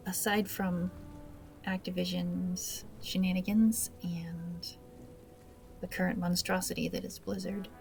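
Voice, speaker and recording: Woman doing casual game commentary, kinda awkward and hesitant, creaky voice, bit of music behind her. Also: fairly low pitch, darkish tone. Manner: awkward, hesitant, self-deprecating. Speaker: gaming video host. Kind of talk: casual let's play commentary.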